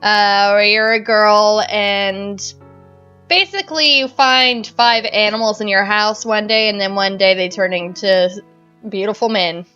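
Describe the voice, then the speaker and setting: A woman with a Southern American accent hosting a casual gaming video, excitable, laughing often and turning loud. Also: mid-range voice, a little slow, smooth, dull audio, soft background music. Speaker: let's play host.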